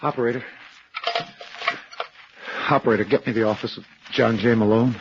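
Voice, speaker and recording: An actor playing an urgent, hurried telephone caller in an old-time radio drama. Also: male voice, medium pitch, fairly even intonation, close to an everyday voice. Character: urgent male caller asking the telephone operator. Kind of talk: 1940s-50s radio acting. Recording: dull, very noisy old radio recording.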